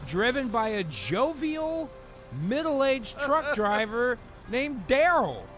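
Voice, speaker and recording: A man's voice: extremely high pitch, very animated, slow, fairly bright tone. A man hosting and narrating an improvised radio comedy, mixing casual joking direction with scene-setting. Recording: faint music under the voice.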